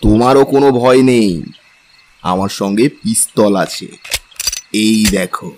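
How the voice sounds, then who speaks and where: A man's voice: fairly low pitch, slow pace, darkish tone. A man playing a confident, protective young husband in an audio story, with a casual, reassuring and lightly commanding manner. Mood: boastful. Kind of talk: audio story character acting.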